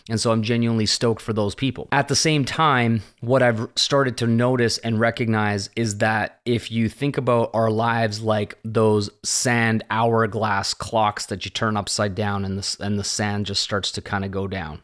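A man in his late thirties talks alone in a loose, reflective way, circling back on his points and checking in with "right?" His voice sits a little low. He sounds like a host musing to his listeners. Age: late 30s. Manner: rambling and conversational, often tags "right?" and "this kind of thing". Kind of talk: reflective solo monologue.

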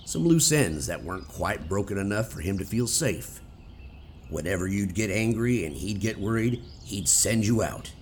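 A man's voice: on the low side, animated, moderate pace, slight background noise, natural voice. A man playing a stern, accusing police detective in a radio theater drama.

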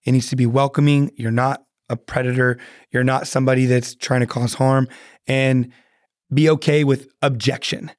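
A man's voice: medium pitch, flat delivery, normal speed, dry recording, studio quality. A man speaking earnestly as a podcast guest, often restarting his sentences. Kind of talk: casual podcast chat.

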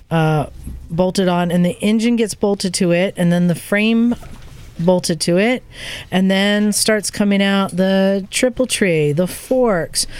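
An enthusiastic woman hosting a podcast, telling a story casually. She recounts what she saw with open excitement and a conversational flow. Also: medium pitch, smooth. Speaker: podcast host recounting a trip.

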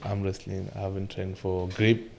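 A man, answering interview questions in halting, simple English with many fillers. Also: low-pitched, little pitch movement, creaky, a loud crowd. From Cameroon. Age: 20s. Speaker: guest arm wrestler.

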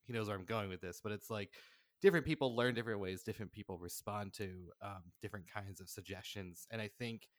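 Guy with a lowish voice telling stories on a podcast, animated and chatty, dry close sound. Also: fast talker, no room sound. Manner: animated, chuckles through anecdotes, frequent "like". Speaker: podcast guest, audio drama writer-director. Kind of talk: casual chat, storytelling.

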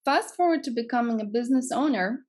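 A woman's voice: fairly high pitch, expressive, fast, a little mellow. A woman of about forty from Greece, with a Greek accent, earnestly telling her life story as a podcast guest and repeating phrases for emphasis. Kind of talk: personal storytelling in an interview.

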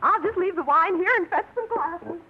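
An actress playing a friendly, gossipy small-town waitress, in a 1950s radio drama on a tinny broadcast with faint hiss. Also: female voice, very high-pitched, normal speed.

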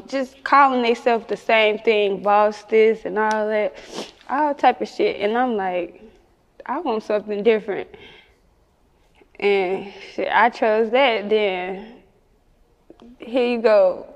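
A woman with a Chicago accent chatting casually and candidly in an interview as a rapper, slangy and quick to laugh. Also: mid-range voice, in a large hall. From Chicago, United States.

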